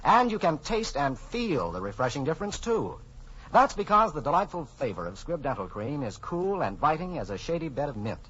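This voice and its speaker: A man's voice: a little high, sharp tone, slightly rough. A man announcing on 1940s radio, delivering scripted copy with polished, emphatic salesmanship. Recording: muffled 1940s radio broadcast, faint music.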